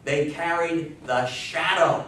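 A man's voice: fairly high pitch, takes it slowly. An American man in his fifties or sixties giving an informal history talk, emphatic and fond of rhetorical questions. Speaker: lecturer on radio history. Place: small indoor meeting room with seated audience. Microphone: distant camera microphone. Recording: echoey room, far microphone, faint hiss.

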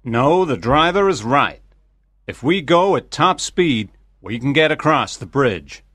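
Animated, firm railway assistant. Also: male voice, mid-range voice, a little slow, no room sound. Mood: urgent, firm. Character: firm, urgent adult male train driver's assistant. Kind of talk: dramatized graded-reader audiobook.

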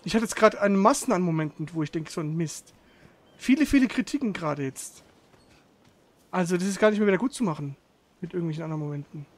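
High-pitched man grumbling over a game. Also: lively intonation, fast, soft background music. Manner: grumbling, exasperated, slangy. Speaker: gamer streaming a playthrough. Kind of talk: casual gaming chat.

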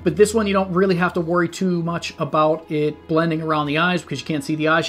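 A man's voice: on the high side, a bit bright. Upbeat man reviewing at a desk. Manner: upbeat, chatty, explains practical details. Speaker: channel host reviewing masks. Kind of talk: casual review talk. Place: small dim studio set, indoors.

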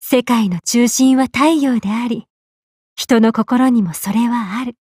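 High-voiced, affectionate sun goddess. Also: female voice, unhurried, breathy edge, dry recording, clean studio sound. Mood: tender, devoted. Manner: swings between excited exclamations and gentle, tender speech. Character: tall, bubbly, affectionate big-sisterly Aztec sun goddess. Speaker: game character. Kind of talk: Japanese anime game voice acting.

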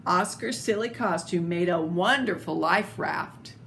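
An older woman reading a children's picture book aloud in an expressive, deliberate, theatrical way, with little asides. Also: fairly low pitch. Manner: slow, deliberate, theatrical phrasing. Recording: clearly noisy home video recording.

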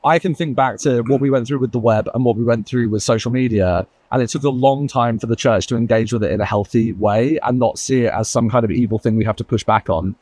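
A man speaking as a guest on a roundtable panel, talking quickly and emphatically with lots of asides. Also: mid-range voice, a little mellow, breathy edge, no room sound. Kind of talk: panel discussion.

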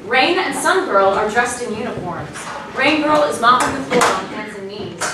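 Lady narrating a play script, slightly high voice, super echoey hall. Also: lively intonation, normal speed. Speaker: reader of stage directions. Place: small indoor venue with audience. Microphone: far camera. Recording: very echoey room, distant mic, noisy.

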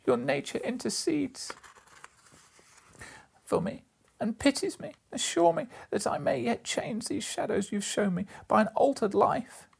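An anguished old miser from a storybook, acted out by a man, beseeching a spirit in a raised, husky voice. He is repentant and dramatic, swinging widely in pitch as he pleads. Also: big pitch swings, unhurried, full character voice. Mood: desperate pleading. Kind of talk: storybook character reading.

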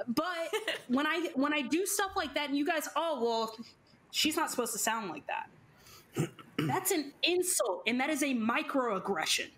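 A woman's voice: sits high, a bit bright. A young woman with a Texas accent, speaking emphatically and directly to viewers as a panelist in a roundtable discussion, on a headset mic at home. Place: home room, indoors.

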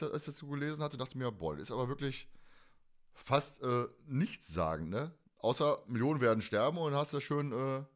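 A man's voice: medium pitch, a bit bright. A man co-hosting a fan podcast, chatting casually in a colloquial, joking way. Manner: colloquial, jokey. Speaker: podcast co-host.

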